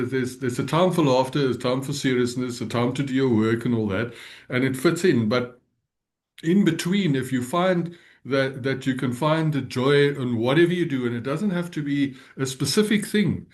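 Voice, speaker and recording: Gentle man, native Afrikaans speaker. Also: mid-range voice, moderate pace. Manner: gentle, earnest, laughs often. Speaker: guest. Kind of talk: reflective interview talk.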